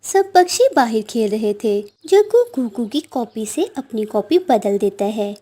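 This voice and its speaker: A woman narrator reads a scripted story for children. Her voice is very high in pitch and mellow in tone. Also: expressive, a little slow.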